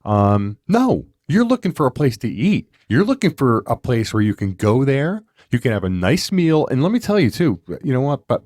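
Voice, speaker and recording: A man in his fifties hosting a podcast, talking in a chatty, rambling way with animated asides. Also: mid-range voice, normal speed, hardly any pauses, no room sound, clean studio sound. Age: 50s. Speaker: podcast host. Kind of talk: casual interview chat.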